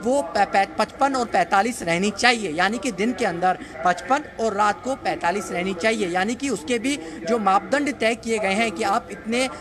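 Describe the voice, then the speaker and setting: A man reporting live for the news from the street, speaking in a halting, repetitive way with frequent hesitations. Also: sits high. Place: busy street market, outdoors.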